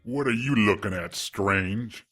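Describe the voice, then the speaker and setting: Menacing demon convict, low and raspy, taunting, for audio drama. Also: male voice, takes it slowly, a bit bright, faint music behind. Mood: sneering. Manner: threatening, taunting. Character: menacing demon prisoner hiding under a cloaking spell.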